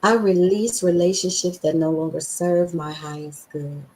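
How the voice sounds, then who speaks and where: A woman hosting a podcast, with a scratchy voice, talking with excited emphasis and slowly repeating affirmations. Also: on the low side. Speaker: podcast host.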